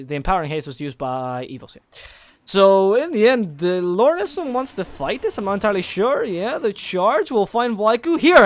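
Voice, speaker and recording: A man calls an esports match play by play in a fairly high register. His voice is naturally low, but he keeps it lifted for the cast. He gets excitable and breaks into high shouts when the big plays land. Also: no room sound. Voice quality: naturally low voice kept higher for casting. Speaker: esports caster.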